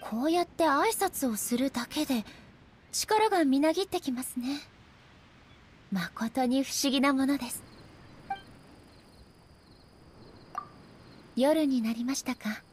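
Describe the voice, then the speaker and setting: An actress voicing a gentle, polite, earnest female warrior deity in a Japanese video game, softly spoken and sometimes breathy. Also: sits high, moderate pace, a little hiss or hum, close to an everyday voice. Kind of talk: Japanese game character voice acting.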